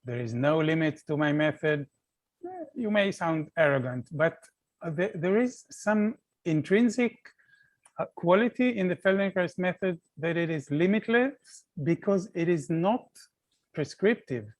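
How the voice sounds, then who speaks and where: A man's voice: fairly high pitch, lively intonation, unhurried. A man hosting an online panel, reading out audience comments and thinking aloud, often restarting his words. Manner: thoughtful, hesitant, repeats words. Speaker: panel host. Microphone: video call.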